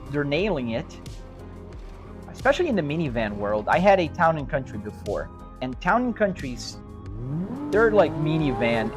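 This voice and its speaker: A man from Brazil with a Brazilian accent, chatting casually on a live stream and answering viewers in an easygoing, rambling way. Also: mid-range voice. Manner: easygoing, rambling, restarts and hedges. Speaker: sim racing live streamer. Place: home sim racing rig. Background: music playing.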